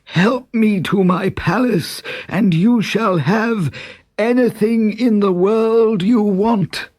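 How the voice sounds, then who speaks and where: A man's voice: high voice, slow, darkish tone, voice pushed up. A man voicing a grand, grateful, wounded desert king in a storybook, with emphatic, declaiming speech. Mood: desperate, exclaiming.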